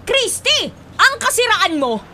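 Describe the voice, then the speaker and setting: Shrill, scolding spinster aunt. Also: female voice, big pitch swings, slow pace, very noisy, heavily acted. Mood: scolding, indignant. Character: strict, scolding spinster aunt, easily outraged. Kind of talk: Filipino radio drama acting.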